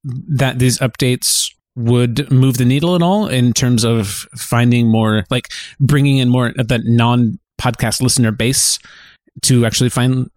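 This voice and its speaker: A man with an average-pitched voice chats as a podcast co-host. His phrasing is loose and informal, like talk between friends. The recording is dry and free of room echo. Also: steady tone, unhurried, darkish tone. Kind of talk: casual chat.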